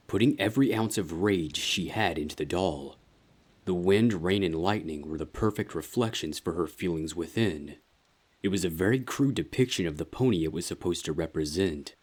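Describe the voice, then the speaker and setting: Narrator for a dark fan fiction tale: man, very low voice, husky edge, scripted dramatic reading.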